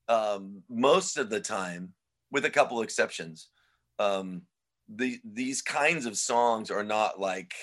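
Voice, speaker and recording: A man with a fairly low voice talks as a guest musician in an interview. He wanders through his thoughts in a relaxed, rambling way, dropping in plenty of fillers as he goes. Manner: relaxed, rambling, many "you know" fillers. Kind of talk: casual interview chat.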